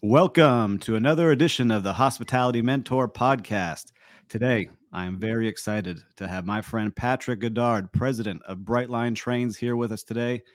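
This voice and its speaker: A man's voice: medium pitch, normal speed, no echo, studio quality. Upbeat male podcast host. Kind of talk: scripted intro and ad read, interviewer.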